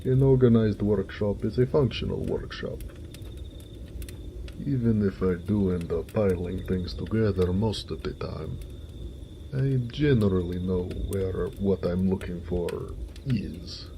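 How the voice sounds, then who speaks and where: Man voicing a deep, slow-talking gentle orc blacksmith. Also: a little hiss or hum. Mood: content, musing. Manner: musing, unhurried, homely. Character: gentle, hardworking male orc blacksmith, weary, good-natured. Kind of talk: solo audio roleplay, talking to himself.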